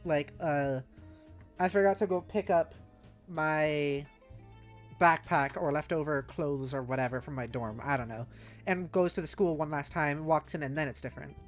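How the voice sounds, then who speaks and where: A woman's voice: sits low, moderate pace. A woman livestreaming casually, thinking aloud in loose fragments and chatting informally with her viewers in a playful way. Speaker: livestreamer host. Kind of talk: casual livestream brainstorming, reading chat aloud. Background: background music.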